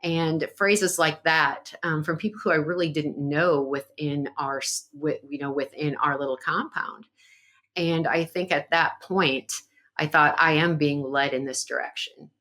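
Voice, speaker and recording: Podcast guest: woman, low voice, earnest, reflective, conversational. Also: fairly even intonation, measured pace, a bit bright. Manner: earnest and reflective, with frequent fillers. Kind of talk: conversational interview.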